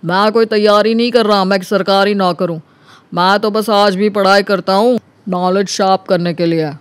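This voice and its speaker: A man's voice: sits high, steady tone, moderate pace, clear, resonant tone, no room sound, natural voice. A man playing a smug, self-assured young man explaining himself in a story. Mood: boastful. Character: smug young man boasting to his old friend.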